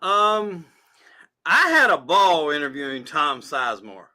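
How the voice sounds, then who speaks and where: A man's voice: on the high side, sing-song, a little slow, bright, forceful tone. A man from South Carolina with a Southern drawl, a podcast host talking as a guest in a loose, profane, casual interview.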